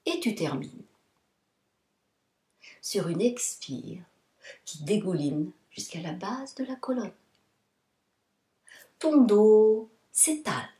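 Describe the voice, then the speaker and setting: A woman yoga nidra instructor guiding a relaxation meditation in a soothing, deliberate manner, in short phrases with pauses. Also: a little high, dark tone. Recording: roomy, echoing sound.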